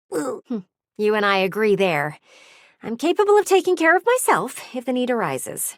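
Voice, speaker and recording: A woman's voice: mid-range voice, a bit bright, no room sound, studio quality. A woman voicing a vain, blunt, flirty living window in a video game, with a theatrical, emphatic delivery. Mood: wistful, candid. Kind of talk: video game character voice acting.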